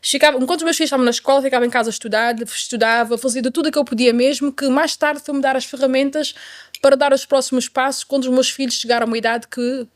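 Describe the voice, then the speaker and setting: A middle-aged woman with a Portuguese accent shares her personal story in a conversational way. Her voice sits fairly high and she talks very quickly. She repeats phrases for emphasis and drops in an English word here and there. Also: fairly even intonation. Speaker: guest telling her life story.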